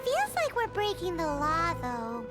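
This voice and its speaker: A woman voicing a tiny, cheerful, childlike fairy companion with a squeaky voice in a game. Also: wildly swooping pitch, measured pace, heavily acted. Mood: sheepish. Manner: refers to self in third person, naive. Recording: music behind the voice.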